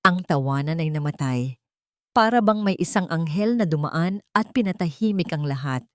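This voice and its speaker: Low-voiced woman narrating a story. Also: expressive, normal speed, dry recording, studio quality. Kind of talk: scripted dramatic story narration.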